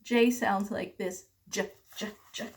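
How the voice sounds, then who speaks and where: A woman reading aloud to young children as a teacher, enunciating deliberately and prompting them with questions. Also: mid-range voice, steady tone. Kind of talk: children's read-aloud lesson.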